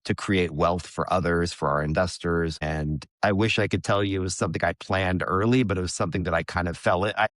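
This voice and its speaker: A man with a low, deep voice and an American accent talks candidly as a podcast guest. His delivery is loose and conversational, with the odd swear word. The recording is close and dry. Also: steady tone, a little fast, hardly any pauses, fairly bright tone, no echo. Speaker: podcast guest, real estate investor. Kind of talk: casual interview talk.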